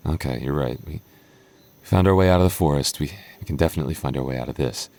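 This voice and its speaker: A man's voice: very deep voice, gravelly, a little mellow. A man playing an anxious, protective goth boyfriend in an audio roleplay, stammering and wry as he talks closely to his partner. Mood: resigned, reassuring. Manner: stammering, halting, nervous, wry.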